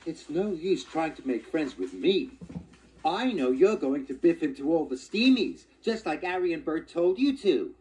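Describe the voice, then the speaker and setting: A woman's voice: deep voice, very animated, normal speed, mellow, low-quality recording. A woman voicing a huffy, reproachful female steam engine from a children's storybook, speaking emphatically. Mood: scolding.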